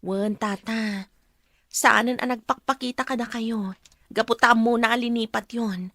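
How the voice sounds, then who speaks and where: A frank serious lady in an ilocano radio drama, voice a bit high, dry recording. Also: fairly even intonation, unhurried, sharp tone, dry recording, heavily acted. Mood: sober.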